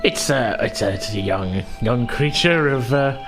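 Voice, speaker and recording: Male game master, mid-pitched and hesitant in his storytelling, with prominent background music. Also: lively intonation, unhurried. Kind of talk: narrating a tabletop game. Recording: music playing behind the voice.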